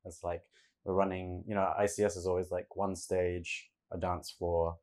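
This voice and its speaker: A man from Singapore, a festival co-founder, chatting in an animated way full of "like" fillers. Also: low voice, moderate pace. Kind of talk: casual interview chat.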